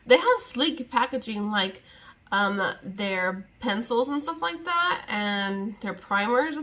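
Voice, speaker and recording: A young woman talks straight to the camera in a loose, rambling way, often trailing off and restarting. She sounds chatty and easily exasperated, with a mid-range voice of ordinary pitch. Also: fairly bright tone. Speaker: beauty vlogger. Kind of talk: casual sit-down chat to camera.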